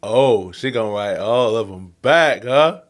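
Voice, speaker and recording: Guy with a lowish voice reacting to a show, real slangy and casual. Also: expressive, unhurried, over music. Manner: colloquial, slangy. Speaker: reaction video host.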